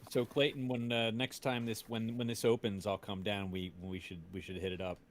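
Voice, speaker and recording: A man's voice: fairly low pitch, level tone, a little fast, slight background noise. A man chatting casually as a co-host, agreeable and a little tentative in how he puts things. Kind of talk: casual chat.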